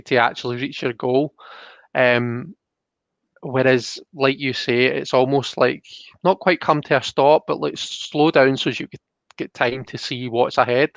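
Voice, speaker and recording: A man hosting a live card reading, talking casually and explaining as he thinks aloud, with halting phrasing. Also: medium pitch, fairly bright tone, no room sound, muffled recording. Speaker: host of a live tarot reading.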